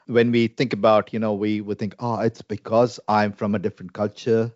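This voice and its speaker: A man's voice: lowish voice, level tone, moderate pace, a little mellow, no room sound, highs cut off. A man from India with an Indian English accent, a Salvation Army minister talking earnestly and reflectively, with frequent fillers. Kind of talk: conversational interview.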